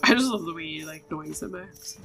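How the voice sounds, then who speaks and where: A woman's voice: deep voice, lively intonation, normal speed, creaky, bright, forceful tone. A woman giving casual, playful gameplay commentary, often chatting to the characters on screen as she plays. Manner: playful, talks to game characters. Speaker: video game streamer. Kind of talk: casual gameplay commentary and reading out game dialogue. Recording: music behind the voice. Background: game music.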